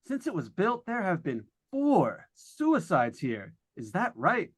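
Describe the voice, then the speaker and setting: A man's voice: high-pitched, wildly swooping pitch, slow pace, heavily acted. An actor playing a breezy, joking male radio host on a ghost hunt, chatty and folksy in old-time radio drama style. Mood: showy, upbeat. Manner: chatty, folksy showman delivery. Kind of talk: 1940s live radio drama acting.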